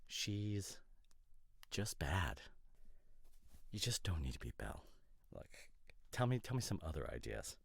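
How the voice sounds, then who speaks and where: A man playing an opinionated, nitpicking boyfriend in a casual roleplay audio drama, talking in pedantic, exasperated, halting asides. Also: low-pitched, big pitch swings, vocal fry, husky, dry recording, full character voice. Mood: exasperated ranting. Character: opinionated, nitpicking boyfriend, a professional costume designer.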